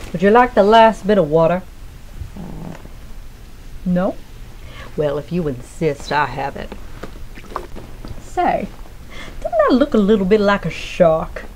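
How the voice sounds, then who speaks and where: A woman's voice: medium pitch, a little hiss or hum, rough recording. A woman playing a prim, oblivious castaway with a formal, mannered delivery in sketch comedy. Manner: mannered, formal, politely self-serving. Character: prim, oblivious, genteel castaway on a life raft.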